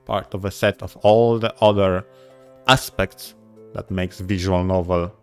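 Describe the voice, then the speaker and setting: Low-voiced man, halting podcast talk over music. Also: steady tone, clear, resonant tone. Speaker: podcast co-host. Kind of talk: casual discussion of visual novels.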